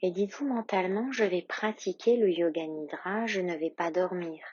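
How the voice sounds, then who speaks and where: Soft husky lady doing a yoga nidra meditation, calm and measured, dry slightly dull recording. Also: on the low side, no echo. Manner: calm, soothing, measured with long pauses. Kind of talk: guided meditation, slow and instructional.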